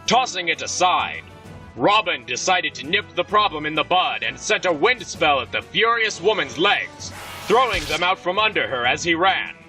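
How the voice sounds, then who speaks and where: A man reading scripted audio drama narration in a dramatic, expressive storytelling manner. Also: fairly high pitch, distant mic, exaggerated voice, poor audio. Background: music.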